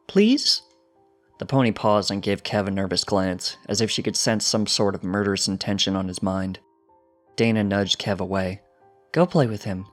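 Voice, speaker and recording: Male storyteller, lowish voice, scripted fiction narration over soft music.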